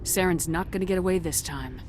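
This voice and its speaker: Woman as tough, very low-voiced commander. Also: fairly quick, darkish tone, slight background noise, natural voice. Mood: determined. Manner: direct, firm. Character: tough, determined female military commander, blunt. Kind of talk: dramatic video game dialogue.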